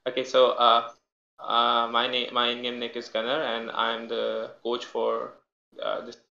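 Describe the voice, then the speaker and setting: A man from Pakistan, a team coach speaking haltingly with many fillers. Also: mid-range voice, completely flat delivery, creaky, pushed and bright, roomy sound, lo-fi sound.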